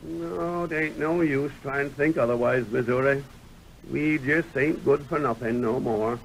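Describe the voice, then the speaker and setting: An actor playing a proud, excitable old prospector in a radio western, gravelly, with a folksy American frontier way of talking. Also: male voice, mid-range voice, measured pace, close to an everyday voice. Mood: dejected. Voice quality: gravelly, creaky old-timer voice. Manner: folksy frontier dialect, crotchety. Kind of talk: 1930s-40s radio western acting. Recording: noisy vintage radio recording.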